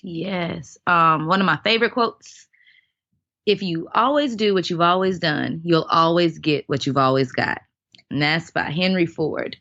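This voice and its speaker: A woman in her thirties, a dance guest on a podcast, telling her stories in an earnest, animated way. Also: on the low side, unhurried, no echo, dull audio. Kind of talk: casual interview storytelling.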